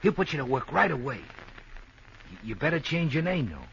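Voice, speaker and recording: An American actor playing a protective, plain-spoken nightclub boss in a 1950s radio drama. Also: male voice, mid-range voice, big pitch swings, a little fast, gravelly, fairly bright tone. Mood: helpful, brisk. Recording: muffled, faintly noisy old radio recording.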